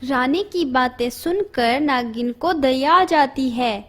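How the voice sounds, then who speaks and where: A woman tells an animated moral story in a steady storybook manner. Her voice is high and unhurried. Faint background music plays throughout.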